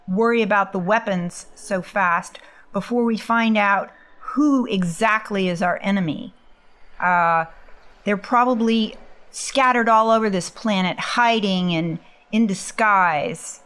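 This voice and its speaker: A woman with a mid-pitched voice and an unhurried pace, taking part in a tabletop game as a player. She improvises casually, measured and a bit hesitant. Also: a bit bright. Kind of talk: casual improvised role-play chat.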